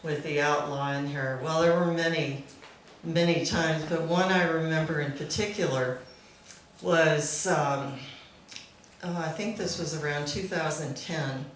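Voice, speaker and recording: A woman tells an informal, amused story to a gathering at an unhurried pace. Her middle-pitched voice rings in a very echoey room, with faint noise behind it. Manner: amused, often laughing as she talks. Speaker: speaker at a memorial celebration. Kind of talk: informal anecdote told to a gathering. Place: back room at a live gathering.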